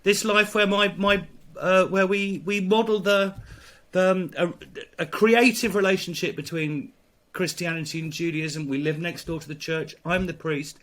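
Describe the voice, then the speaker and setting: This is a middle-aged man with an English accent and a voice pitched a bit high for a man. He is a priest recounting his own story to an interviewer, halting often with fillers, false starts and repeated words. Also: steady tone, fairly bright tone. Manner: halting, many fillers and restarts. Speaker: priest, guest. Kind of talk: reflective storytelling in conversation.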